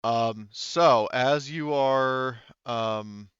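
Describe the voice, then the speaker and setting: A man's voice: medium pitch, extremely slow, a bit bright, smooth, no echo, dull audio. A man casually narrating a tabletop game as game master, rambling and thinking aloud as he goes.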